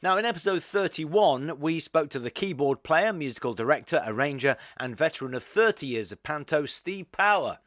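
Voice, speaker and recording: A man's voice: fairly high pitch, expressive, normal speed, few pauses, a bit bright, no room sound, clean studio sound. A man speaking as a podcast host, in a polished, courteous interviewing style.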